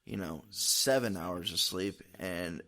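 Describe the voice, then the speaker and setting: Guy w a lowish voice doing a podcast interview, rambly and informal, kinda thinking out loud. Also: slow. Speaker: podcast host. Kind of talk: casual interview chat.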